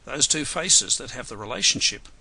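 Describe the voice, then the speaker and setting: A man giving a live software tutorial webinar, hoarse and losing his voice, talking unhurriedly with many fillers and restarts. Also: medium pitch, lively intonation, moderate pace. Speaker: software trainer.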